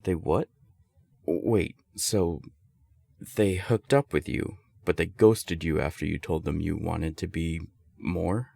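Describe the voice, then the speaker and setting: Man playing a young serial-dater friend for audio roleplay: low gravelly voice, candid, emphatic, secretly smitten. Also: fairly even intonation, takes it slowly, dark tone, no echo, clean studio sound, heavily acted. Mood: surprised, curious. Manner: conversational, hesitant, emphatic swearing. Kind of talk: intimate audio roleplay acting.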